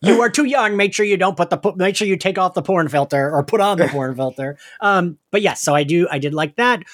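A man's voice: high-pitched, animated, brisk, a bit bright. A man co-hosting a podcast, chatting casually and animatedly as he recaps a story and acts out little quotes. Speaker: podcast co-host.

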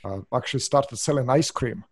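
A middle-aged man from Russia with a Russian accent, a business owner explaining his work in an interview, pausing often with fillers. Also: mid-range voice, dry recording, clean studio sound. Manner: hesitant, many uhs and restarts. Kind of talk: interview answers.

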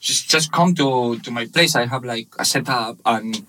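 A man in his thirties from Spain with a Spanish accent, speaking English as a second language, telling his story haltingly in a casual interview with many fillers. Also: mid-range voice, pushed and bright, low-quality recording. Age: 30s. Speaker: guest, webshop manager.

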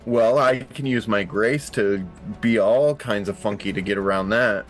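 A man playing a tabletop game, describing his character's actions in a halting, theatrical way. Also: fairly low pitch, soft background music.

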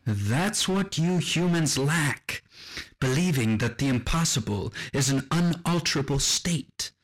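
A man playing a cold, condescending machine in a radio drama, speaking deliberately and with an air of superiority. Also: a little high, lively intonation. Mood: calculating, proud. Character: cold, superior music-making machine with ambitions of control.

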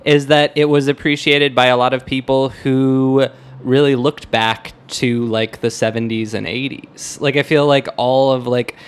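A man's voice: mid-range voice, level tone, unhurried, clean tone. A man co-hosting a music podcast, speaking informally and reflectively with hesitant phrasing. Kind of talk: casual music discussion.

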